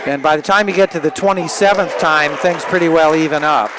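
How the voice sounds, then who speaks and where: An older man giving live radio wrestling commentary, chatting in a halting, conversational way between calls of the action. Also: fairly high pitch, crowd noise. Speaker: play-by-play wrestling commentator, former wrestler and referee. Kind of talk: live radio sports commentary with casual banter. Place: indoor sports arena. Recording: noisy live arena broadcast. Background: loud venue noise.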